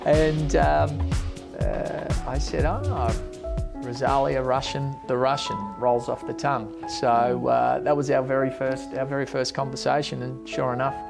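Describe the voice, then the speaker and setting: A man's voice: medium pitch, fairly even intonation, measured pace, creaky. A man running for mayor, answering interview questions in a rambling, hesitant way with many restarts, in a bar. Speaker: mayoral candidate and bar owner. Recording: loud background music.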